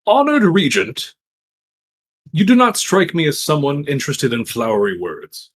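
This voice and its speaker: A man's voice: a little high, huge pitch swings, a little slow, husky, exaggerated voice. A man voicing a courteous, direct adventurer who speaks formally in a tabletop roleplaying game. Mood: polite, resolute.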